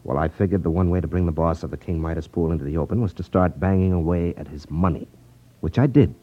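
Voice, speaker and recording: An actor playing a confident, wry detective in a 1950s radio drama, explaining things with self-assurance. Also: male voice, sits low, fast. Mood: wry confidence. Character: confident, wry male ex-magician rackets detective. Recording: muffled 1950s radio recording.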